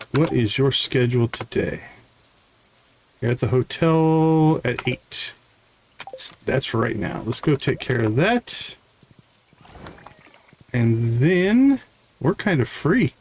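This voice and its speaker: Male game streamer, unhurried and mid-pitched, musing casually in short bits, some background noise. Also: a little mellow, low-quality recording. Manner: thinking aloud in short fragments. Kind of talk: casual live gameplay commentary.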